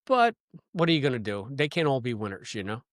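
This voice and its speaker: A man's voice: mid-range voice, big pitch swings, quick pace, no echo, studio quality. A man co-hosting a podcast in casual chat, rambling and playful, laughing often. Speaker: podcast co-host.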